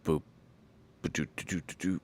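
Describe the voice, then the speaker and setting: A man hosting a casual solo livestream, talking in a slow, rambling way with many fillers, a little congested. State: congested after illness. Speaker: livestream host.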